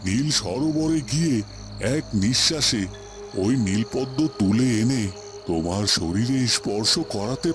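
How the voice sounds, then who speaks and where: Man acting a grave, low-voiced holy hermit. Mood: solemn. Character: grave, wise male holy hermit advising a prince. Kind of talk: dubbed cartoon fairy-tale acting.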